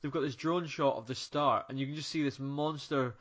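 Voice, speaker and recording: Bloke co-hosting a running podcast, talks on and on, kinda dull sound. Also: male voice, medium pitch, steady tone, fairly quick. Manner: chatty, rambling, appreciative. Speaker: podcast co-host and interviewer. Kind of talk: casual chat.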